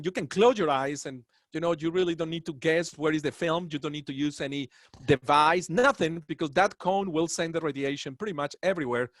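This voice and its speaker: Man, Colombian Spanish accent, somewhat high voice, earnest lecturer, webinar talk. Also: no room sound. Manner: earnest, proud, rambling asides. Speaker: dental professor.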